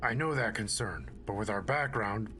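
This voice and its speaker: An actor playing an earnest, respectful ranger soldier in an audio drama, measured and formal. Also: male voice, on the low side, pushed and bright, a little hiss or hum. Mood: earnest persuasion.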